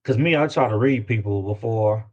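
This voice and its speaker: A man's voice: fairly low pitch, normal speed, darkish tone, lo-fi sound. A man chatting casually as an interview guest, informal and often repeating words as he searches for phrasing. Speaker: guest, independent rapper. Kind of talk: casual chat.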